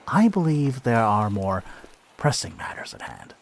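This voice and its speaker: An actor playing a brash, tormented last heir of a noble line in a video game cutscene, with a dramatic, sardonic delivery. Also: male voice, medium pitch, huge pitch swings, unhurried, creaky, a little hiss or hum, heavily acted. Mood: exasperated, earnest.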